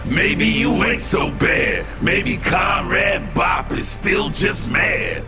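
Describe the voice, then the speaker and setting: A man's voice: high voice, slow pace, loud music behind the voice. A man playing a defiant anti-establishment street singer in a game, delivering rhymed protest in a sing-song, declamatory way. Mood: grudging. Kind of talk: sung, rhyming protest speech in a game.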